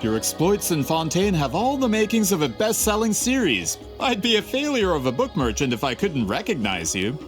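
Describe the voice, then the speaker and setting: A formal, persuasive publisher, pitch swinging a lot; game dialogue. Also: male voice, huge pitch swings, moderate pace, few pauses, soft background music. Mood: delighted. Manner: formal, courteous, salesman-like. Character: polished adult male book publisher, courteous, persuasive. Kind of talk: video game voice acting.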